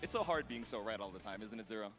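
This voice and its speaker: A man's voice: on the low side, lively intonation, fast. A man commentating an esports broadcast with casual, slangy banter. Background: faint music.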